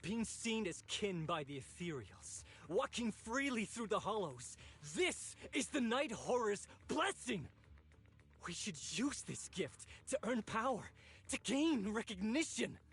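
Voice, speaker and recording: An actor playing an ambitious, ruthless zealot in a video game, husky-voiced, bitter and taunting in a theatrical way. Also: male voice, sits high, exaggerated voice. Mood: fervent, persuasive. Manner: theatrical, taunting, sneering villain delivery. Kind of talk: dramatic video game voice acting.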